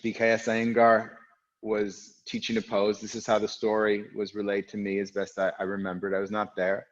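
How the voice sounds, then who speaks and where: A man from the United States with a lowish, strong voice leads a yoga class. He talks calmly and reflectively, with pauses between phrases. The sound is dull and lo-fi. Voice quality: loud, strong voice. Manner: calm, reflective, speaks in unhurried phrases with pauses. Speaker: yoga teacher. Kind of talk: guiding an online yoga class. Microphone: recorded for an online class video.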